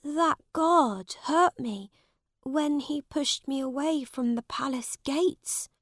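A woman's voice: sits high, slow pace, no echo, clean studio sound, pitched above the performer's own voice. A woman voicing a poor young storybook boy who is polite, honest and earnest. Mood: cheerful, open. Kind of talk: storybook character voice.

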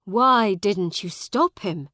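A woman's voice: medium pitch, lively intonation, slow, bright, forceful tone, no room sound, clean studio sound. A woman voicing a forthright young nobleman in a storybook reading. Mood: urgent.

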